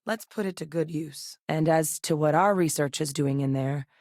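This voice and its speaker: A composed, tactical female officer from a sci-fi video game. She talks in a low register, measured and matter-of-fact. The sound is dry and close. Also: a little mellow, dry recording. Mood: calm. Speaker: game character. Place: recording studio.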